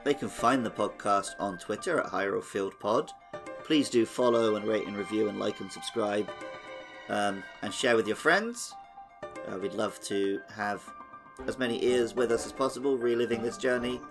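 Low-voiced man, casual podcast co-host. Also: normal speed, over music. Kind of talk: casual chat about a video game.

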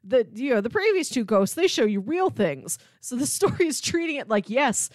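A woman co-hosting a podcast, casually discussing a film in an animated storytelling way. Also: high voice, normal speed, no room sound. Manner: animated storytelling, acts out characters' lines. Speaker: podcast co-host.

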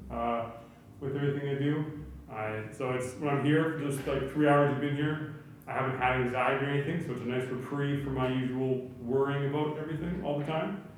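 A man from an amateur cast talking in a casual, informal way. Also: fairly high pitch. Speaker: amateur radio play cast member. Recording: echoey distant room, faint noise.

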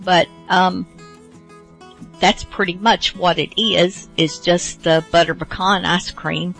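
A woman's voice: lowish voice, fairly even intonation, slow pace, gravelly, a bit bright, soft background music. A middle-aged woman hosting a radio show, chatting casually and rambling with many fillers. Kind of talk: casual chat.

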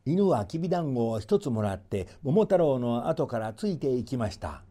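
A man's voice: medium pitch, sing-song, normal speed, warm tone. A man narrating a folk tale as a storyteller, in a theatrical scripted reading.

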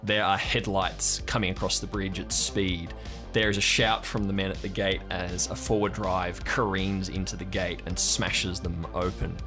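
A man narrating an improvised audio drama as game master, describing scenes aloud as he builds them. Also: deep voice.

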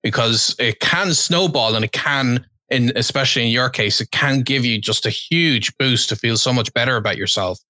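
A man's voice: mid-range voice, normal speed, few pauses, sharp tone, no echo. A man hosting a podcast, welcoming a guest and asking conversational questions. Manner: friendly, conversational questions with fillers. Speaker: podcast host. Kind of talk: podcast interview.

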